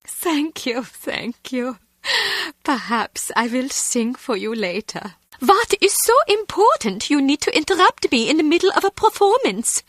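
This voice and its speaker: A vain German cabaret villainess for a video game. Her high voice sweeps up and down as she talks with grand, condescending menace. The sound is close and dry. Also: big pitch swings, a little slow, fairly bright tone, no room sound, exaggerated voice. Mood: grandiose, aggrieved. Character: vain, menacing German villainess and self-adoring club singer.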